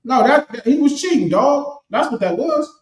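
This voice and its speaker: A man's voice: very high voice, lively intonation, moderate pace. A man joking and teasing in casual chat. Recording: echoey room.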